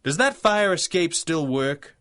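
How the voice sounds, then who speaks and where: An old-time radio masked hero, sharp clear-cut delivery, stern and drily mocking. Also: male voice, fairly high pitch, animated, measured pace. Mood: wry, menacing. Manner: articulate, mocking, righteous. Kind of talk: 1930s pulp radio drama acting.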